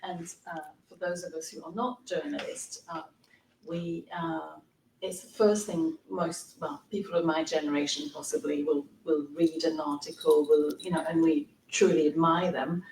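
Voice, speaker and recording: Tentative woman with a lowish voice. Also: steady tone, a little mellow, slight background noise, poor audio. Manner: tentative, roundabout, pausing often. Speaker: audience member asking a question. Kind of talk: conversational question from the audience.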